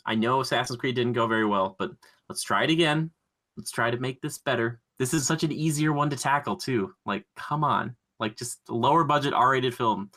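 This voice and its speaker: A man co-hosting a podcast in casual chat, pitching his ideas in an excitable, slangy, rambling way. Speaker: podcast co-host.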